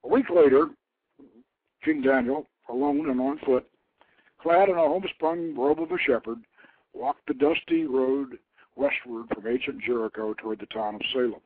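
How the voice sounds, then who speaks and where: A man reading a scripted story in a stately way, in short measured phrases with the odd stumble. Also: medium pitch, dry recording. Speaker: host reading his own novelette. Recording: thin phone-like internet radio line.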